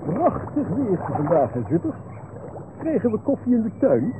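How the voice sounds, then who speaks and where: An actor playing a cautious, fussy older professor with a Dutch accent in a radio serial. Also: male voice, sits high, big pitch swings, normal speed. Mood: leisurely, hesitant. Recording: tinny band-limited old radio recording with noise.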